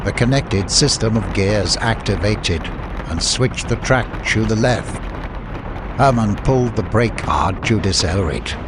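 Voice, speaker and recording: A man's voice: lowish voice, lively intonation, unhurried, noisy background. A man giving a dramatic scripted narration of an adventure story as an audiobook narrator.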